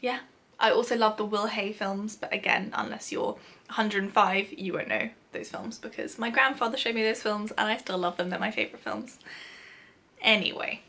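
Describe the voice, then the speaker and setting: A woman's voice: mid-range voice, steady tone, moderate pace, sharp tone. A young British woman chatting casually as a vlogger, self-deprecating and giggly as she answers questions. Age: young adult. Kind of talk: casual chat to camera, answering a question list.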